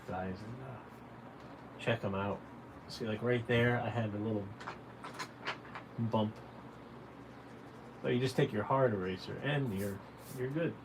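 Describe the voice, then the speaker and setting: A man giving a casual, folksy drawing tutorial on a livestream, talking directly and encouragingly to viewers. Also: mid-range voice. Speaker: caricature artist hosting a drawing livestream. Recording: clearly noisy room ambience.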